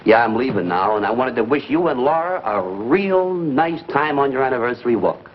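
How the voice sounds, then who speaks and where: An actor playing a needling, sarcastic, whiny brother-in-law in a theatrical 1950s broadcast comedy style. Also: male voice, medium pitch, lively intonation, fairly quick, lightly acted. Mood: gushing, oily. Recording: muffled, noisy 1950s broadcast recording.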